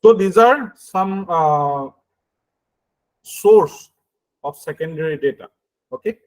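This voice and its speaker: A man with an Indian accent lectures in a fairly high-pitched voice. He explains things through everyday examples, moving haltingly with frequent hesitations and short checks for understanding. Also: lively intonation, a little mellow, low-quality recording.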